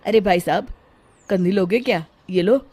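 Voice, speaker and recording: A woman's voice: mid-range voice, moderate pace, warm tone, close to an everyday voice. A woman voicing a cheerful male street comb seller who calls out his wares in a singsong and teases a customer. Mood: teasing. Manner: sing-song hawker calls, teasing. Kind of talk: cartoon voice acting.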